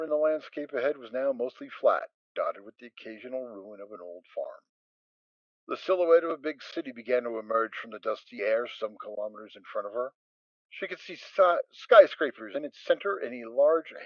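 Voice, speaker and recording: A man narrating a fan audio drama in an expressive storytelling manner. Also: mid-range voice, moderate pace, no room sound. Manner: expressive reading. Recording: dull, dry lo-fi recording.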